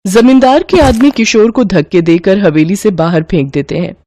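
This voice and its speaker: A woman's voice: mid-range voice, moderate pace, warm tone. A woman reading scripted narration for a moral bedtime story.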